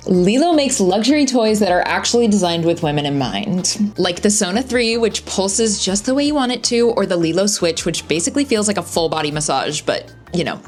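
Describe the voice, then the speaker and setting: A young woman co-hosting a casual chat, telling stories in an animated, gossipy way. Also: medium pitch, a little fast, hardly any pauses, soft background music. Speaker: co-host.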